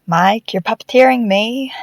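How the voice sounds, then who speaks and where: Casting: woman voicing young animatronic puppet boy, high-pitched, shy but cheeky, close dry audio drama sound. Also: normal speed, dry recording, close to an everyday voice. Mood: playful, indignant.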